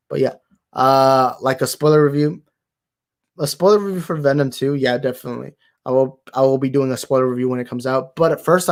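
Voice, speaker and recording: A young man hosting a movie talk livestream, tired and slightly raspy, rambling and thanking his viewers. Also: mid-range voice. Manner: rambling, grateful, repeats himself. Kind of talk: casual livestream sign-off chat.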